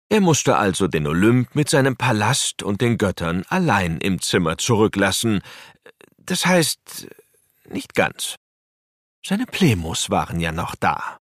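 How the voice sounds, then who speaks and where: A male narrator tells a children's story in an expressive, unhurried way. His voice is fairly low and recorded close and dry. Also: dry recording.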